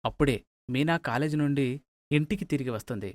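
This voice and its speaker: A man's voice: medium pitch, fast, darkish tone, dry recording. A man giving scripted narration for a moral story.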